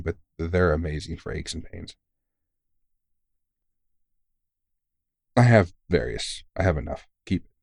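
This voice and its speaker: A man playing a kindly, tired veteran vampire hunter in an intimate audio roleplay, reassuring a rookie in an informal, gently teasing and sweary way. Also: a bass voice, dry recording, clean studio sound. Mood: reassuring, frank.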